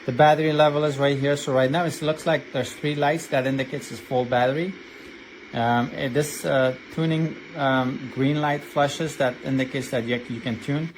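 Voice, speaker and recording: A man's voice: mid-range voice, fairly even intonation, moderate pace, noisy background. A man reviewing a product in a casual, hands-on way, explaining step by step with some hesitation. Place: indoors at a desk.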